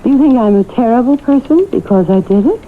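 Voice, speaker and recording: An actress playing a cold, domineering, unrepentant murderous double in a radio drama, matter-of-fact and challenging. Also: female voice, a little high, animated, fast, close to an everyday voice. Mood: challenging, exasperated. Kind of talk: 1970s radio drama acting. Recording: tinny, noisy old radio broadcast.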